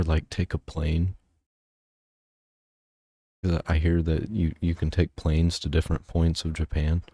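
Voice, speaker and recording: A man with a strikingly deep voice and a dark, mellow tone talks through a reaction video in an easygoing, rambling way. The sound is dry and close, with no room echo. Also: husky. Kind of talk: casual commentary over a video.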